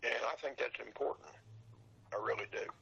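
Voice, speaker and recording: An older man with a hoarse, breaking voice, talking earnestly and haltingly as a guest in a casual interview. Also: mid-range voice, pushed and bright, dull audio. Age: older, 60s or above. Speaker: podcast guest, radio show collector.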